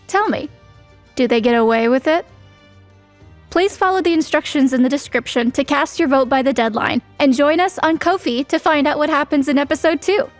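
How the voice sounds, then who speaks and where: High-voiced woman announcer. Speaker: announcer and host. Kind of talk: scripted series announcement.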